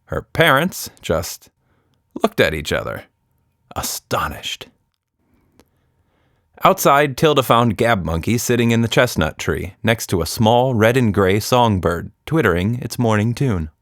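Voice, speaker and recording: Low-voiced man hosting a children's story podcast. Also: lively intonation, dry recording, clean studio sound. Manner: halting, gently humorous. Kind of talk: casual podcast intro and children's story narration.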